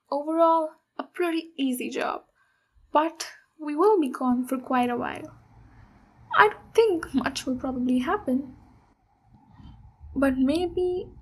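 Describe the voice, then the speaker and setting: A high, unhurried voice for a big ogre warrior woman, acted out by a woman. She is a gentle, encouraging mentor in an intimate ASMR fantasy roleplay, taking her time with each phrase. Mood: matter-of-fact, casual. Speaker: ASMR voice actor.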